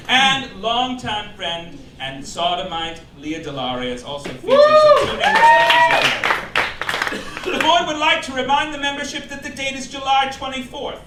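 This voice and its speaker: High-pitched campy man, arch and theatrical. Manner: mock-formal, arch, campy, with emphatic punchlines. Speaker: comedian presiding as chairman of a mock club. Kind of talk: scripted satirical stage monologue, read as official rulings. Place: live cabaret club stage before an audience. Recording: roomy live club recording, distant, loud noise. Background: audience laughter, loud room noise.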